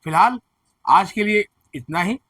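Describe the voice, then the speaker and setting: A man with a hoarse throat presenting education news, explaining admission figures and earnestly reassuring students and parents. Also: high voice, lively intonation, measured pace, sharp tone, no room sound. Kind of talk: direct-to-camera advisory commentary.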